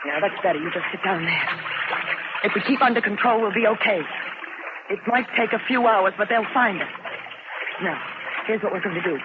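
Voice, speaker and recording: A woman's voice: mid-range voice, moderate pace, pauses often, narrow, tinny audio, natural voice. A woman acting a young American flight nurse in peril, commanding yet reassuring. It is urgent 1950s radio drama acting, heard through a thin, very noisy vintage broadcast. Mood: firm, reassuring. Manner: urgent, take-charge, reassuring.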